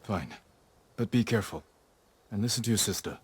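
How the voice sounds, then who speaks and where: A man playing a stoic, honorable samurai lord in a video game, grave, measured and terse. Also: lowish voice, expressive, breathy edge. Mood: firm, composed. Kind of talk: dramatic video game acting.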